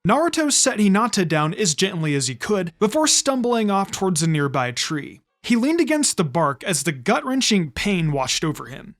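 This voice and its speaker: Expressive fan fiction narrator with lively pitch. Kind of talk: scripted narration, audiobook-style story reading.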